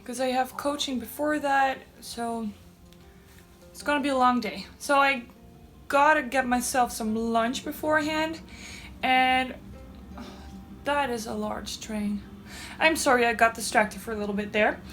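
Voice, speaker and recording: Young woman, native Dutch speaker, enthusiastic casual book vlogger, slowish delivery, roomy echo. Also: high voice, a bit bright. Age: young adult. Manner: enthusiastic, gushing about things enjoyed. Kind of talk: casual chatty vlog. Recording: echoey room, faint background noise.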